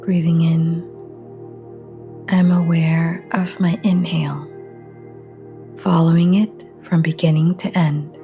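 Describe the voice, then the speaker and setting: A woman guiding a relaxation meditation in a soft, half-whispered, breathy voice, calm and gentle, with long pauses. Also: on the low side, soft background music. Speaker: meditation guide.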